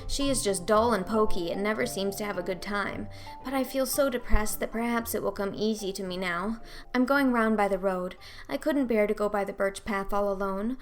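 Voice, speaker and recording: A woman's voice: mid-range voice, close to an everyday voice. A woman voicing a melodramatic, imaginative young girl in a storybook reading, gushing in flowery, old-fashioned phrases.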